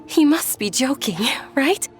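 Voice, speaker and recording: A woman playing a gentle, timid young noble lady in a video game, polite and hesitant. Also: high-pitched, animated, unhurried, faint music behind. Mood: nervous. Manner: hesitant, stammering.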